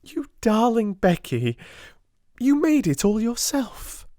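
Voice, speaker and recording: A man voicing a warm-hearted young English schoolgirl in an audiobook, tender and full of feeling. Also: extremely high pitch, sing-song, slow pace, no echo, studio quality, voice pushed up, exaggerated voice. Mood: delighted, affectionate. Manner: breathy, emotional exclamations.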